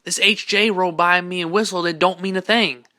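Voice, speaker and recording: A man playing an excitable, loyal young swing kid, eager and slangy, in an audio drama. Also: high voice, normal speed. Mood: excited.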